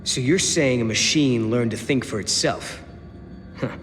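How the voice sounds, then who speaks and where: A man's voice: mid-range voice, unhurried, lightly acted. A man playing a determined, wry young hero in a video game. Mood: thoughtful. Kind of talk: video game cutscene acting. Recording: reverberant game audio under loud music.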